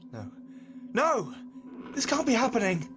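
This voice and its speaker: Man acting anguished young husband, animated pitch. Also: measured pace, background noise, heavily acted. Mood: alarmed. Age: young adult. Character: injured, bitter young husband, proud and despairing. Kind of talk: audio drama acting.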